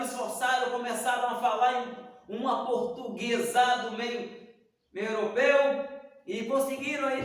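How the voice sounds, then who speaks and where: A man teaching a church Bible lesson, telling stories and acting out the dialogue. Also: very high voice. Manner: animated storyteller acting out dialogue. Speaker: Sunday school teacher. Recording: echoey hall, distant mic.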